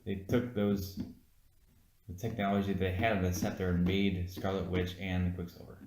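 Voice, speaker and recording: A man with a fairly deep voice chats casually as a podcast co-host. He is blunt and quick to argue, with plenty of swearing. The room around him rings with clear echo. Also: little pitch movement, noisy background. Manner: blunt, argumentative, swears freely. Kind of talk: casual movie review chat. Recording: echoey room.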